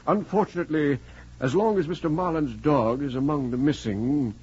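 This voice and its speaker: An actor playing a grave, formal middle-aged college dean in a 1940s radio drama. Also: male voice, fairly high pitch, a little mellow, slightly rough. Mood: grave, regretful. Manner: formal, measured, stern. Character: grave, formal male college dean, regretful but firm. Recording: muffled, hissy 1940s radio recording.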